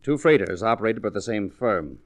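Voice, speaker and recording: An actor playing a well-bred, guarded insurance executive with a formal, hedging manner in a 1940s radio drama. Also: male voice, fairly low pitch, lively intonation, moderate pace.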